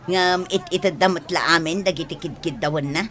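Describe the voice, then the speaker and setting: A woman's voice: lowish voice, moderate pace, fairly bright tone, faint music behind, close to an everyday voice. A woman playing a stern, bossy, scheming village woman in an Ilocano radio drama, with an Ilocano accent. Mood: prying.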